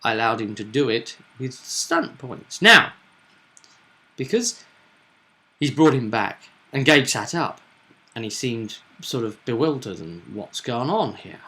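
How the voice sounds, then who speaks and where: A man's voice: mid-range voice, big pitch swings, unhurried, pushed and bright. A man chatting as a game master, recounting a tabletop roleplay session with emphatic, dramatic storytelling.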